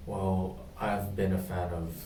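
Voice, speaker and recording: A young man from Indonesia answers interview questions in a deep, flat tone, often stopping to search for words. He sounds distant from the mic, in a room with a noticeable echo and faint ambient noise. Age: young adult. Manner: hesitant, many pauses and ums. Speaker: job candidate in a practice interview. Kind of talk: mock job interview answers.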